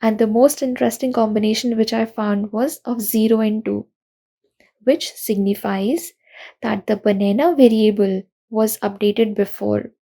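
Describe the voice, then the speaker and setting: A young woman giving a recorded conference talk, explaining programming pitfalls in an earnest, steady way. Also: medium pitch, unhurried. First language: not Russian. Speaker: conference speaker.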